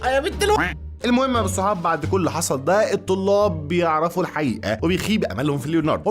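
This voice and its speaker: A young Egyptian man narrates a comedic movie recap at breakneck speed over background music. His delivery is casual and slangy, full of mocking asides to the listener, and his pitch moves around in a lively way. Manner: joking, mocking asides, addressing listeners familiarly.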